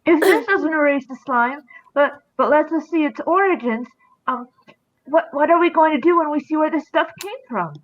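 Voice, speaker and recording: A woman's voice: sits high, normal speed, muffled recording, close to an everyday voice. A woman voicing an anxious, eager young boy sidekick in a live cartoon comic dub.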